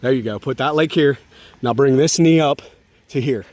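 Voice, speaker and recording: A man with a mid-pitched voice speaks as a dad to his young child. His talk is informal and encouraging, with simple guidance and casual chat. He is outdoors at a park. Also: moderate pace, darkish tone. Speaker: father and vlogger.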